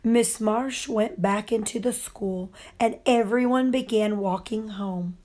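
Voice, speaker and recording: A woman reading a children's story aloud in a deliberate, expressive way. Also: fairly high pitch. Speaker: storybook reader.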